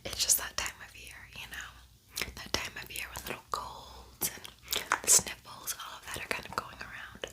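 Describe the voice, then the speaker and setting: A woman whispering softly and breathily as an ASMR host, lingering on and repeating her words. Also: normal speed. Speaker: ASMR host doing a makeup tutorial.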